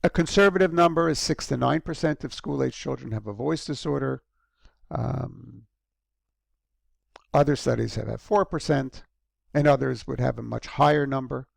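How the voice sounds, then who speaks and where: Gravelly-voiced male lecturer, dry close sound. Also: medium pitch, normal speed, no room sound. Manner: conversational, frequent ums and restarts. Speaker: university lecturer. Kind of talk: recorded course lecture.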